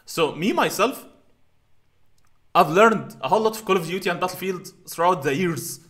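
Bright-voiced man in his thirties, halting. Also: sits high, animated. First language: Arabic. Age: 30s. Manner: rambling, halting, many fillers and restarts. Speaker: channel host answering comments. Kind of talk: casual commentary reading viewer comments. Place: small room at home, indoors. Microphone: headset.

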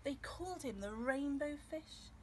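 High-voiced woman reading a children's story. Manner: expressive, deliberate storytelling for young children. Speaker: nursery early years co-ordinator reading a story. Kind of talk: children's storybook read-aloud. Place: outdoors in a grassy garden by a field. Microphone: camera several metres away. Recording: outdoor ambient noise.

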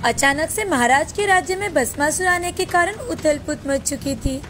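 A woman reading scripted narration for an animated children's tale. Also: sits high, normal speed, slight background noise.

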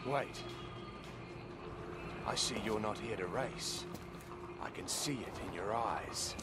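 An actor playing a vain, grandiose seer in a video game, pompous and theatrical with archaic phrasing. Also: male voice, lowish voice, slow pace. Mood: knowing. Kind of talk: video game character acting. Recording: music behind the voice.

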